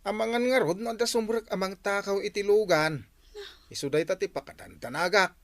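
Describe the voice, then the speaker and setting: A man's voice: high voice, fairly quick, no room sound. An actor with an Ilocano Filipino accent playing a thrifty, insistent husband who frets over his possessions in a comedy drama. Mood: worried. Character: thrifty fretful husband, protective of his new car.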